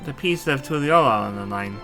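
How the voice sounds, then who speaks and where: A man's voice: mid-range voice, animated, a little fast, music in the background, lightly acted. A man voicing an earnest, impulsive young royal heir in a fantasy video game, speaking emphatically. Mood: determined. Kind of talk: amateur character voicing of game dialogue.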